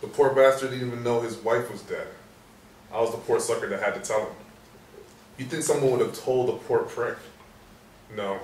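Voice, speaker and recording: A man plays a weary, cynical police sergeant in a stage drama. He is blunt and jaded, with a medium-pitched voice. The sound is echoey and distant, with faint noise. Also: normal speed, pauses often, darkish tone, close to an everyday voice. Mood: weary, bitter. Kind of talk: stage play reading.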